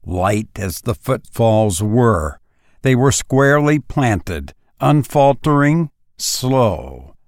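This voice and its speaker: A man reads gothic fiction aloud in a fairly deep voice. His delivery is very slow and grave, with deliberate pauses that draw out each phrase. The sound is dry and close, with little room around it. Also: no echo. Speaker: audiobook reader. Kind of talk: dramatic narration of a literary horror story.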